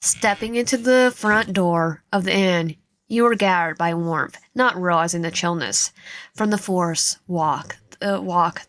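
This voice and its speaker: Female let's player, lower pitch, reads aloud and stumbles, roomy sound with faint music. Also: unhurried, a bit bright. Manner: stumbles over words while reading. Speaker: gaming channel host. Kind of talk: let's play commentary, reading aloud. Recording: echoey room, faint music behind.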